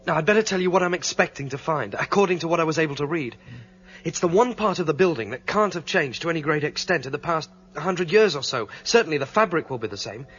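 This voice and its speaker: Radio drama: guarded fugitive planner, fast-spoken male, reasoning plainly, muffled sound with some noise. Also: fairly high pitch, animated, a bit bright. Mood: determined. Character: calm, determined fugitive leader explaining the plan. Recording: dull radio drama recording, faint hiss.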